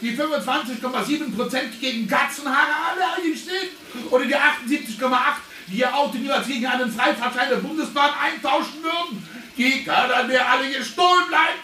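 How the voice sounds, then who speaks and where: A man's voice: very high voice, brisk, sharp tone, distant mic, slight background noise, exaggerated voice. An actor in a German radio drama playing an exasperated, cornered middle-aged male candidate, petulant and blustering. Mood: petulant, defiant. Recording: echoey, off-mic, faint music.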